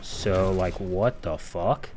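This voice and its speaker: Guy ranting over gameplay, lowish voice, sarcastic and fed up, swears a lot, bit of noise. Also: slow, a little mellow. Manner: sarcastic, exasperated, foul-mouthed. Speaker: video game critic. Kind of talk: informal analysis commentary over gameplay.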